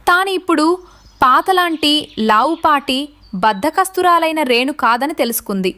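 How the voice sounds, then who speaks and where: A woman's voice: high-pitched, expressive, moderate pace, pushed and bright. A woman giving scripted narration as the storyteller of a tale.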